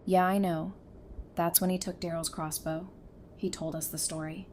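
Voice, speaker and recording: A woman's voice: on the low side, little pitch movement, moderate pace, darkish tone, slight background noise, natural voice. A woman playing a caring, frank apocalypse survivor in a naturalistic audio drama. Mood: rueful, frank. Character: caring, frank female zombie-apocalypse survivor, hurt by betrayal.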